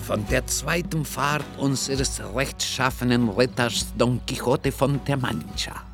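A man's voice: mid-range voice, slow, music in the background. A man formally announcing chapter titles from a script in a radio play.

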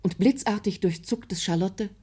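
A woman's voice: mid-range voice, normal speed, mellow, husky. A woman narrator reading scripted literary narration for a drama. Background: music.

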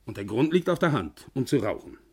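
An actor playing an insistent, self-justifying company boss in a 1960s German radio crime drama, formal and argumentative. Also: male voice, mid-range voice, big pitch swings, fairly quick, darkish tone, breathy edge.

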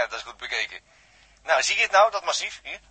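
Nervous stammering astronaut, old radio play, bit husky, very bright male voice. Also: a little high, very animated, a little fast, dry recording, heavily acted. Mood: flustered. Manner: hesitant, stammering, restarting sentences. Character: hesitant, anxious male spaceship crewman. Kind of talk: 1960s Dutch radio drama acting.

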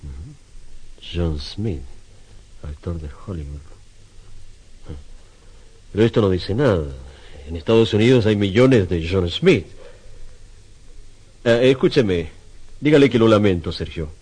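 Deep, husky, brooding sculptor. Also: male voice, lively intonation, slow pace, long pauses, darkish tone, heavily acted, rough recording. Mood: brisk. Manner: theatrical, brooding delivery. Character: proud, obsessive male sculptor, impulsive and self-doubting. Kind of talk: Spanish-language radio drama acting.

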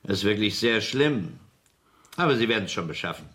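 An actor playing a smug, menacing gangster boss in a German radio crime drama, coolly mocking and commanding. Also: male voice, on the low side, sing-song, fairly quick, a bit bright. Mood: cold, domineering.

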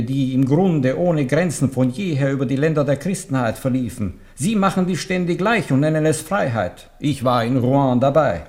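An older man in a radio drama playing a bowed old papal legate, formal and deliberate, humble on the surface but coldly warning. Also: medium pitch, darkish tone, lightly acted. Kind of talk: 1970s German radio drama acting.

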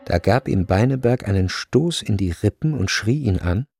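A man's voice: on the low side, animated, moderate pace, vocal fry, a little mellow, slightly rough, dry recording, clean studio sound, faint music behind, full character voice. A man narrating a literary radio drama in a sober, scripted manner.

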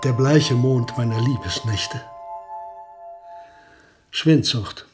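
Radio play: male actor for macabre, sentimental aging gentleman collector, ornate and gallant, mid-pitched, music underneath. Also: slow pace, close to an everyday voice. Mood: wistful, savouring. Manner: ornate, gallant phrasing with French flourishes. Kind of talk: German radio play acting. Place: studio radio production.

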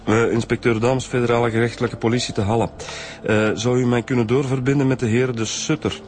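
An actor playing a quick-thinking Flemish police inspector, matter-of-fact and informal, in a radio drama. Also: male voice, mid-range voice, level tone, darkish tone, close to an everyday voice. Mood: brisk. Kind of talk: naturalistic radio drama acting. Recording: music under the dialogue.

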